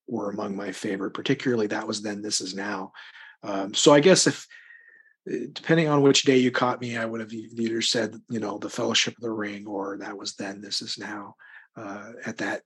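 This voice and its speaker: A man's voice: lowish voice, husky. A middle-aged American man talking casually as an interview guest, thoughtful and rambling, often hesitating as he works out his answer. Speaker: interview guest, crime fiction author.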